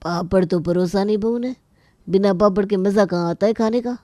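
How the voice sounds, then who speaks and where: A woman's voice: mid-range voice, normal speed, dark tone, no room sound, clean studio sound, lightly acted. A woman voicing a particular, food-loving middle-aged man of the family, talking in a coaxing manner.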